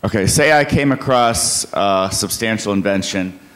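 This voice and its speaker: A man's voice: fairly low pitch, moderate pace, a bit bright, slight background noise. An academic man asking a hesitant question from the back of the room. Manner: hesitant, many fillers. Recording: distant and echoey from the back of the room.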